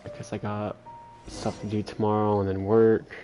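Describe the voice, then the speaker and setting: A man's voice: on the low side, monotone, moderate pace, warm tone, faint music behind. A man giving casual, wry commentary as he livestreams a video game, laid-back in manner. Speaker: game streamer.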